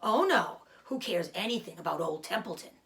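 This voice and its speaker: A woman's voice: on the low side, animated, normal speed, creaky, fairly bright tone. Lady doing a grumbly old rat guy from a kids book, sarcastic, sorry for himself, swoops up and down. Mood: mocking. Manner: mocking, complaining, theatrical. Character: grumbling, sarcastic old male rat, greedy and self-pitying.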